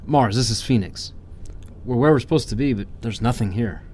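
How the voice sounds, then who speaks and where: A man playing a steady, commanding special-ops army captain in an audio drama, talking in clipped military phrases. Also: medium pitch, normal speed, slight background noise, close to an everyday voice. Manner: clipped military radio talk.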